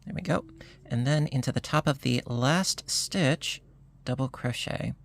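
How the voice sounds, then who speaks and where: A crochet instructor, slightly hoarse, calmly calling out each stitch in a step-by-step tutorial. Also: mid-range voice, slight background noise. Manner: calm, unhurried, talks through each stitch.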